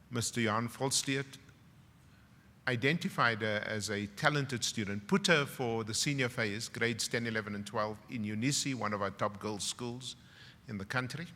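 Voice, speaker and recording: A middle-aged man with a South African English accent giving a graduation speech as a university professor, a dramatic storyteller who swings from loud, wry punchlines to hushed, emotional moments. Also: mid-range voice. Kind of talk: ceremonial speech with personal stories. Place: large graduation hall. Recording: long hall reverb from a ceremony PA.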